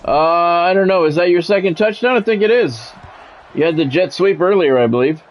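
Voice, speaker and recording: Higher-pitched man calling football play-by-play. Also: brisk. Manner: announcer-style calls in short clipped phrases. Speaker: sports game streamer doing play-by-play. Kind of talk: football play-by-play commentary with casual chat to viewers.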